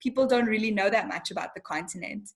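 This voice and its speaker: A woman's voice: medium pitch, fast. A young woman from Zimbabwe, a TV host talking as an interview guest, speaking frankly and animatedly with casual slang.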